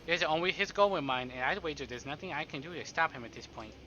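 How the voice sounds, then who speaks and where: A man's voice: a little high, fast talker, sharp tone, a little hiss or hum. A man streaming a visual novel, reading narration aloud and cracking playful, sarcastic comments in between. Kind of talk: live narration mixed with joking commentary. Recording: dull lo-fi stream audio over music. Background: game music.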